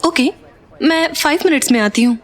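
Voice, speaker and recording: A woman's voice: sits high, moderate pace, some chatter behind, a little hiss or hum, lightly acted. A woman playing a polite, apologetic film actress in a Hindi audio drama.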